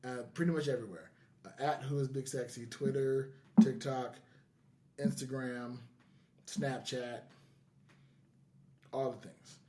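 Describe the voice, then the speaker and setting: A man's voice: mid-range voice, fairly even intonation, a little slow, long pauses, dark tone. A middle-aged man hosting a podcast in casual chat, joking and interrupting himself as he goes. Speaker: podcast host. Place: indoors, in person with co-hosts.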